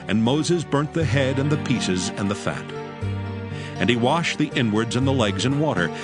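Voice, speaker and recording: A man narrating scripture in a steady, reverent, measured way for a dramatized audio Bible. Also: mid-range voice. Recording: narration over clear music. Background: music.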